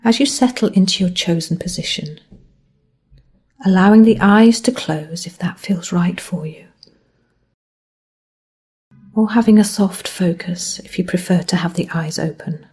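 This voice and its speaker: A woman guiding a body scan meditation as a mindfulness teacher, speaking gently in measured phrases that end softly. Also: lowish voice, husky.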